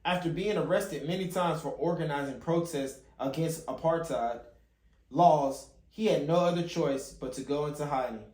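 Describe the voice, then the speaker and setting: A man with a fairly high-pitched voice reads a storybook aloud for children. He sometimes trips on a word and repeats the phrase. The recording is echoey, as in a bare room at home. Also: normal speed, a little mellow. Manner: stumbles and rereads phrases as he reads. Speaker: father hosting a children's read-along channel. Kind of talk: reading a picture book aloud.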